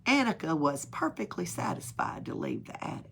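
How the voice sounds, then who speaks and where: A woman with a husky voice reads a children's story aloud in a dramatic, emphatic way. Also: on the low side, big pitch swings, normal speed, a bit bright, slight background noise. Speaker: library story reader. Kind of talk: expressive storybook read-aloud.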